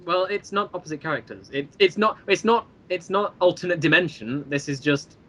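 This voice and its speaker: A man with a forceful, bright voice chats as the guest on a casual interview. He talks quickly and a little high, rambling through explanations. Now and then he slips into jokey showman asides. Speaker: interview guest, creator of a fan web series.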